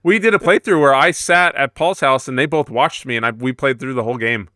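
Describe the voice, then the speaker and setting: A man's voice: mid-range voice, brisk, sharp tone, no echo, clean studio sound. A man co-hosting a casual gaming podcast in an informal, joking way. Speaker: podcast co-host.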